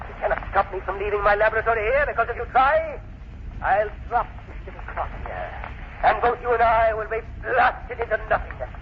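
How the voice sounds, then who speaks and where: An actor playing a vengeful, gloating mad professor with a sneering, theatrical manner in an old radio drama. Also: male voice, high voice, animated, fairly quick. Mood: defiant. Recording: muffled, noisy old radio broadcast recording.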